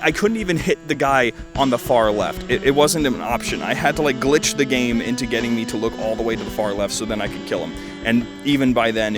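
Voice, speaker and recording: A man in his twenties giving casual, rambling game commentary as a video host, enthusiastic and full of jokey asides. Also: fairly low pitch. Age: 20s. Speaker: video host reviewing fan games.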